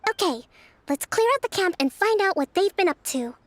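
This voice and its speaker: High-voiced, composed young female strategist. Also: animated, no echo. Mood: composed, reassuring. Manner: measured, articulate, composed. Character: calm, clever young female military strategist. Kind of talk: scripted video game dialogue. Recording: background music under the voice.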